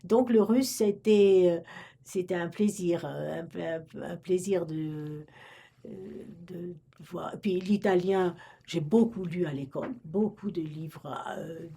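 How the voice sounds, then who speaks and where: A woman's voice: fairly low pitch, takes it slowly, creaky, warm tone, husky. An older woman from Romania, a retired librarian, recalling her past in an oral history interview, hesitant and full of restarts. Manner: hesitant, many restarts and fillers.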